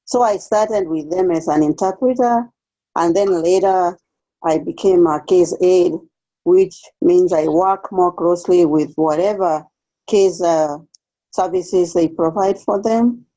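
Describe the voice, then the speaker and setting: Slow, soft-toned Kenyan woman. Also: lowish voice. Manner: careful, hesitant, with pauses and restarts. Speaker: case aide, guest speaker. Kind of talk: online seminar interview. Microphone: video call. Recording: dull lo-fi video call audio.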